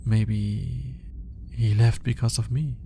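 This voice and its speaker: An awkward, analytical alien scientist, acted by a man for an intimate ASMR roleplay. He muses slowly in formal, hesitant phrases, shyly smitten with his listener. His voice is dark and mellow with a lot of gravelly fry in it. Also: medium pitch, little pitch movement, slight background noise. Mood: reluctant, wistful. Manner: hesitant, formal, articulate musing with sighs and pauses. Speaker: voice actor.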